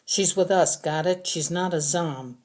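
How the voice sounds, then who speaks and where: A woman's voice: lowish voice, unhurried, darkish tone, lightly acted. A woman narrator plays an angry, belligerent soldier in a young adult audiobook. He argues and threatens, pushing his words out hard. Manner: argumentative, threatening. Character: hot-headed, belligerent young male soldier.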